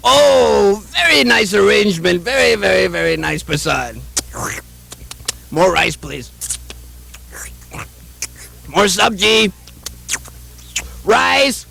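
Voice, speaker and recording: Hypocritical puppet monk, slow drawn-out delivery, sharp bright tone, raspy, insistent, theatrical. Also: male voice, high voice, animated, exaggerated voice. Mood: greedy delight. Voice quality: raspy, creaky. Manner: insistent, exclaiming, repetitive, sanctimonious. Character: fussy, gluttonous, hypocritical renounced holy man puppet. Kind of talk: theatrical puppet show acting.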